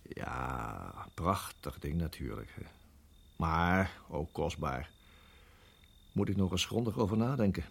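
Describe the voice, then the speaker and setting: A man acting in a radio play as a protective husband, speaking in a halting, urgent hush. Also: deep voice, expressive, vocal fry, slightly rough, exaggerated voice. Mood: calm, casual. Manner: hushed, halting, urgent whispering. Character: tense, protective husband guiding his endangered wife.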